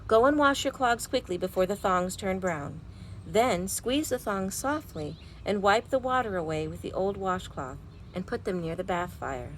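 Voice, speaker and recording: A woman's voice: medium pitch, lively intonation, lightly acted. Woman reading as a practical, caring mother, noisy recording. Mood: gently instructing. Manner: brisk, gently instructing.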